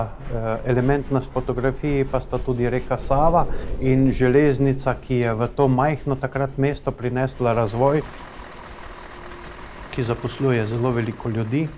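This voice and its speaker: A man's voice: medium pitch, darkish tone, a little hiss or hum. A man talking in an explanatory interview style.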